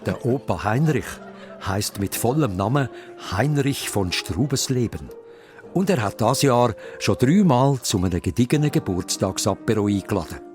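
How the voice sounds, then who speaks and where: Animated Swiss German male storyteller. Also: medium pitch, normal speed, over music. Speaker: narrator. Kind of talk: scripted children's radio play narration.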